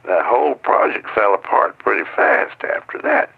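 An old American veteran being interviewed in an audio drama. His voice is deep and gravelly, with lively swings as he haltingly looks back, reflective and cautious. The recording is slightly dull. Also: heavily acted. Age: older. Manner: halting, conversational recollection. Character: old retired military codebreaker, reflective and wary.

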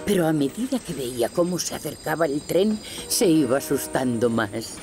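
A woman acting as gentle storybook narrator for a children's cartoon, with a Castilian Spanish accent. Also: low voice, lively intonation, normal speed, over music. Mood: gentle storytelling.

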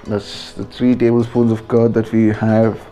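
A man's voice: lowish voice, completely flat delivery, a little slow, warm tone, faint music behind. A man with an Indian accent giving a casual, informal step-by-step cooking narration as a home vlogger in a kitchen.

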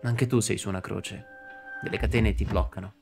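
A man's voice: on the low side, fairly even intonation, moderate pace, vocal fry. Young male streamer over prominent game music. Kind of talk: dramatic reading of on-screen game text. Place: indoor streaming setup. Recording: loud game music behind voice. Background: game music and dialogue.

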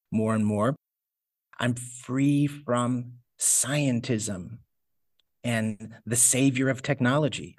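A man's voice: lowish voice, no room sound. Reflective man, dry close mic. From United States. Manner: thoughtful, searching, many restarts. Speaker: guest, homesteader and teacher. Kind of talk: reflective interview answers.

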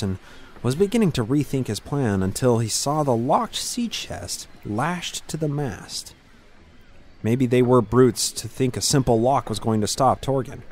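A man narrating scripted fantasy fiction in a measured, dramatic storytelling manner with deliberate pauses. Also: mid-range voice, a little mellow, a little hiss or hum.

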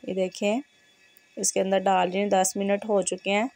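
A woman with a hoarse, fevered voice, hosting a home cooking tutorial and giving steady step-by-step instructions in a kitchen. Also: mid-range voice, moderate pace, no room sound. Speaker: cooking channel host.

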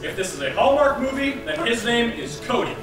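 Actor as an animated, high-voiced male scientist, very echoey. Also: fairly quick, vocal fry, loud music behind the voice, exaggerated voice. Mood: mock-serious. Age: young adult. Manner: theatrical, mock-serious delivery. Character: excitable young male scientist lecturing on romantic comedy rules. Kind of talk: scripted comic stage narration. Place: stage in a large theater. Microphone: far camera. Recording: distant, very echoey theater stage.

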